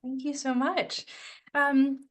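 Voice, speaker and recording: A woman's voice: sits high, little pitch movement, slow pace. A woman hosting an online information webinar, presenting options and moderating a student panel with frequent ums. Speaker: webinar host. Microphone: video call.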